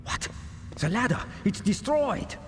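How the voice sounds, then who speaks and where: An actor playing a frightened, jumpy German soldier in a game cutscene, with a German accent. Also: male voice, high-pitched, very animated, a little slow, in a large hall. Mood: horrified.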